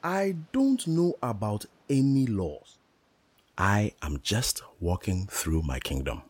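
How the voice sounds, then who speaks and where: Aloof male wanderer, acted for radio drama: very low, husky, slow, dry and close, unbothered. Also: very animated, dry recording, exaggerated voice. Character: aloof wanderer strolling through their own kingdom.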